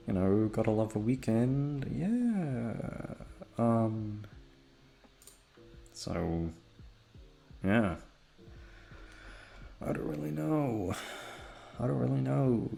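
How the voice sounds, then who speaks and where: A young man with a low, creaky voice talks quietly and slowly, as if keeping it down at home. His tone is dark and soft, and he rambles casually and hesitantly to his listeners, swearing as he goes. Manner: hushed, low-energy, keeping quiet for others in the house; laid-back, hesitant, swearing freely. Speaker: audio roleplay creator and host.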